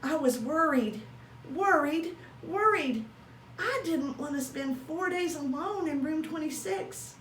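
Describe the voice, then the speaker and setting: A woman voicing an excitable, self-pleased young male hamster in a storybook, exclamatory and dramatic. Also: high-pitched, slow, distant mic, voice pushed up, full character voice. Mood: worried. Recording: roomy echo with faint noise.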